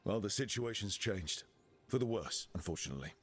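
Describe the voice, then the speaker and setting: Adventuring team leader, low husky voice, dry, direct, fantasy video game hero. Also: male voice, expressive, a little fast, faint music behind. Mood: matter-of-fact.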